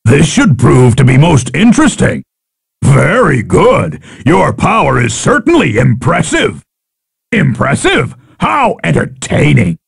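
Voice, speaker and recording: Fighting game owl warrior: proud, battle-hungry, extremely gravelly, pitched high for a man. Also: huge pitch swings, full character voice. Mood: measured approval. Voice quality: gravelly, husky. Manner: haughty, deliberate, archaic challenges.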